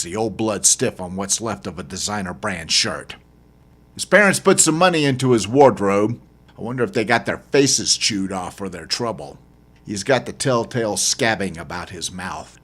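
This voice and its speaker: A man reads his own fiction as an audiobook narrator. His voice sits a little low. The delivery is dramatic, with lively, wide-ranging intonation in a first-person telling. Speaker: author reading own novel as narrator.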